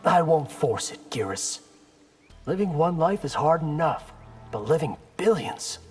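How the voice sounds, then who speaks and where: An actor playing a brash, defiant young ninja in a video game, cocky and sardonic. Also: male voice, fairly high pitch, expressive, a little slow, darkish tone, soft background music. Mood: questioning.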